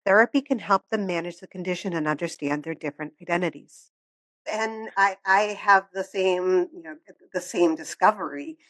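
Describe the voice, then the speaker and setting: A woman with a fairly low voice hosts a podcast interview. She explains things in a calm, measured way. The sound is dry and close, with no room echo. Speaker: podcast host.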